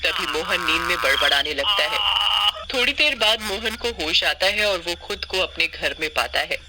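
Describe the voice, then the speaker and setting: A woman's voice: on the low side, expressive, moderate pace, very noisy. A woman reading scripted narration for a cartoon story.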